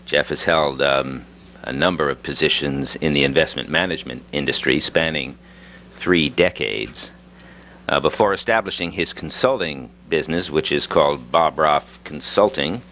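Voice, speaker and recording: Male event moderator, extremely deep voice, formal introductions, live room recording with faint noise. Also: moderate pace, sharp tone. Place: live conference with podium.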